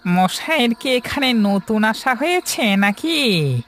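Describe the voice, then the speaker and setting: A woman voicing a sly, calm male fish-eating ghost in a cartoon horror story. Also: a little high, takes it slowly.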